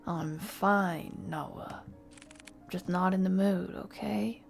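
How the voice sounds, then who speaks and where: A woman acts out a brooding investigator from a visual novel, a tired and grumpy man. The voice is hushed and reluctant, with slow, creaky phrasing and music behind it. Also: mid-range voice, steady tone. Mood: curt, grumpy. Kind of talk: visual novel character reading.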